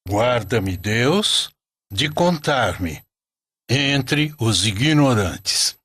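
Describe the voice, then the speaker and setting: A man's voice: medium pitch, big pitch swings, extremely slow, a bit bright, breathy edge, dry recording, clean studio sound, full character voice. A man voicing a solemn, patient, commanding prophet in a storybook cartoon.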